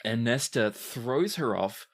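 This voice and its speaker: Low-voiced, lively woman narrating as game master. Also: takes it slowly, husky. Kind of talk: narrating a tabletop role-playing game.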